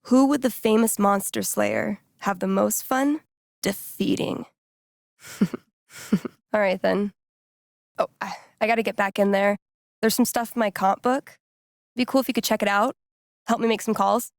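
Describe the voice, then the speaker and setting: Wry young woman, slightly gravelly, dry sound. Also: mid-range voice, fairly even intonation, a little slow, pauses often, no echo. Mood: warm, earnest. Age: young adult. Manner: casual, wry, playful teasing. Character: wry young woman, teasing, loyal friend and radio DJ. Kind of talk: naturalistic video game dialogue acting.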